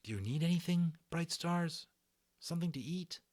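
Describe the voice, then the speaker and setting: A man plays a soft-hearted young English boy in a children's storybook. The voice is raised and lively. He sounds sincere and eager, a little breathless with concern. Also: fairly high pitch, normal speed, pitched above the performer's own voice, natural voice. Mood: gentle concern.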